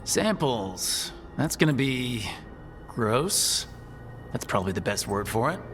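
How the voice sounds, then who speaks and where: An actor playing a plain-spoken, steady young video game hero with a dry, understated delivery. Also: male voice, medium pitch, takes it slowly. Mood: wry resolve. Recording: game music clearly behind the voice.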